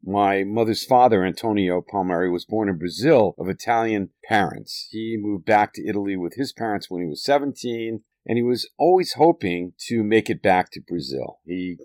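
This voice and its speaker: A man from the United States telling nostalgic family stories in a fond, lively way, chuckling now and then. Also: on the low side, normal speed, few pauses, no echo, studio quality. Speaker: storyteller. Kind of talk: nostalgic personal narration.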